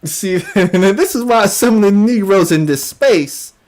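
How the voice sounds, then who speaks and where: A man's voice: high voice, animated, a little slow, darkish tone. A man hosting an informal commentary show, mocking and emphatic in manner, often repeating his phrases for effect. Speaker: YouTube show host.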